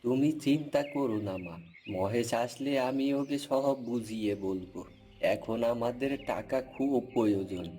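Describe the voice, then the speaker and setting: Here is a man acting a gentle old father from a cartoon village tale, short of money and humble. The voice is mellow and mid-pitched, with music playing faintly behind. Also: a little slow, natural voice. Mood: reassuring. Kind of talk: cartoon folk tale dialogue.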